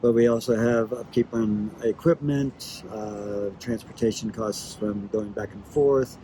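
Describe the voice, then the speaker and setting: Missionary man, deeper register, halting casual interview delivery, noticeable insect and vehicle ambience. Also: fairly even intonation, dark tone, a little hiss or hum, poor audio. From Ecuador. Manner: halting, searching for words. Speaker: missionary and Bible translator. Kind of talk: casual promo video interview.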